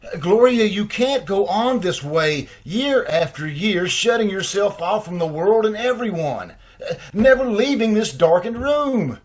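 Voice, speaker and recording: A man's voice: high-pitched, expressive, unhurried. A man playing an earnest, worried family doctor in an old-time radio drama, pleading and hesitant. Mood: concerned.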